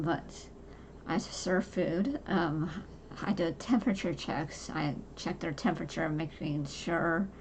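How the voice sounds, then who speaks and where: Soft-voiced woman with low, gravelly tone. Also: slight background noise. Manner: halting, many fillers and restarts. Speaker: podcast host. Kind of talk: casual personal talk to camera.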